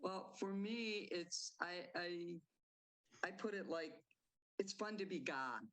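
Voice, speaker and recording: An older woman playwright answering interview questions in a halting way, with fillers and laughs. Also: lowish voice, animated, fairly bright tone, low-quality recording.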